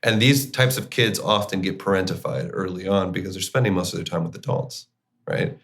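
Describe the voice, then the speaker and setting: A man with a very low voice and plenty of fry, talking as an expert guest in an interview. His delivery is conversational and informal, with casual slang mixed into professional explanation. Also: a little fast, a bit bright. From Chile and the United States. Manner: informal, uses slang like kiddo and buddy. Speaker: clinical psychologist, podcast guest.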